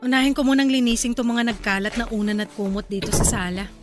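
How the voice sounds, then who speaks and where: A woman playing a sweet, high-voiced young wife. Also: fast talker, close to an everyday voice. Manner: sweet, polite to elders. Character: caring young wife and mother, eager host. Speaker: wife character. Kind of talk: radio drama acting. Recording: faint music bed behind.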